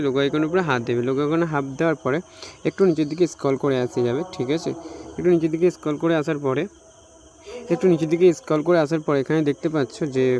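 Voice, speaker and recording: A man's voice: a little high, darkish tone, highs cut off, slight background noise. A man from India with an Indian Bengali accent gives an informal phone tutorial, repeating himself and often tagging phrases with a checking question. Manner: repetitive, frequently tags phrases with a checking question. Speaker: tech tutorial YouTuber.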